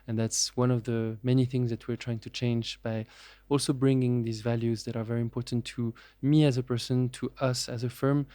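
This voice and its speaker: A man in his thirties speaks as a podcast guest. His delivery stays level, with little rise and fall. The tone is mellow, and he pauses often to gather his thoughts. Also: mid-range voice, level tone, no echo. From France. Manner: measured, reflective, with frequent pauses and fillers. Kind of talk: casual interview.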